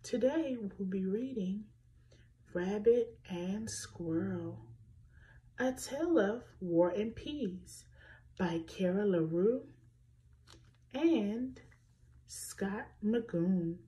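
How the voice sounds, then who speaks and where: A woman reading a children's picture book aloud, slow and expressive, pointing out letter sounds for young listeners. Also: mid-range voice. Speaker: children's story reader.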